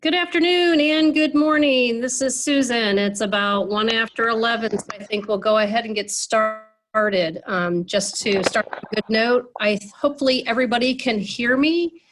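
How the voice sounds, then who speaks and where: Nasal, slightly high-voiced woman moderating a video call meeting, casual and chatty, full of fillers. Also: normal speed, few pauses, a bit bright, a little hiss or hum, low-quality recording. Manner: chatty, many fillers and restarts. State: nasal from a cold.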